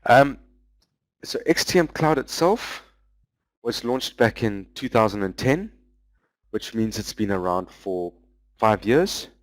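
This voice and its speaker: Male presenter, low register, dry close mic, loose explanatory delivery over slides. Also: lively intonation, no room sound, poor audio. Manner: explanatory, walking through product features; loose, with frequent "um" pauses. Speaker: business development manager presenting a webinar.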